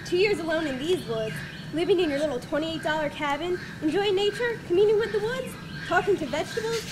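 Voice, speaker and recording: A woman's voice: extremely high pitch, expressive, fairly quick, noisy background. A woman reading the part of a wry man who teases and mocks his hermit friend. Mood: teasing. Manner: teasing, mocking and wry. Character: teasing man, worldly city friend and critic. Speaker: reader in a dramatic reading.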